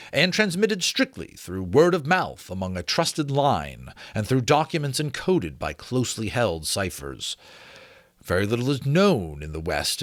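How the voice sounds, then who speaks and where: A man's voice: fairly low pitch, a bit bright, no echo, clean studio sound. A man hosting a book read-aloud, shifting between theatrical, formal reading and informal asides. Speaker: host reading books aloud.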